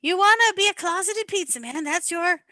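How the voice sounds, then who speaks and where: A woman's voice: very high-pitched, lively intonation, moderate pace, sharp tone, no echo, studio quality, full character voice. A woman playing an eager, chatty, insistent restaurant sidekick to a mobster in an amateur comic radio play.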